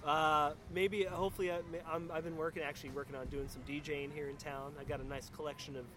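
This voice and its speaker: A middle-aged man hosting a casual podcast chat, speaking effusively and haltingly, often repeating his words. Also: a little high, level tone. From northern United States, grew up partly in the South. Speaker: podcast host. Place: outdoors at a pizza place. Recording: noisy outdoor spot with traffic.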